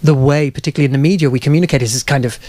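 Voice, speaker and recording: A man's voice: on the high side, steady tone, very fast, a little mellow. A man in his thirties conducting a literary interview, asking long, thoughtful questions with hesitant phrasing. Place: small crowded bookshop, live event. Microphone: handheld microphone.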